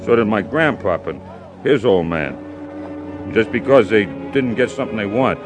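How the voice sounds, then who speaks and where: An actor playing a simple-minded young man who poisoned someone and brags about his cunning, in halting, childlike speech. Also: fairly low pitch, expressive, over music, natural voice. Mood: boastful. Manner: slow, halting, childlike boasting. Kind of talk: 1950s radio drama acting. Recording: old radio broadcast recording.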